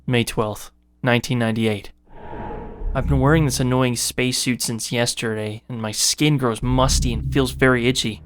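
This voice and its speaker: A man's voice: mid-range voice, fairly even intonation, normal speed, natural voice. A man giving a dramatic reading as an animal keeper writing a diary while he sickens in a horror game. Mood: weary, uneasy. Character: male animal keeper diarist, sickening into a feral zombie.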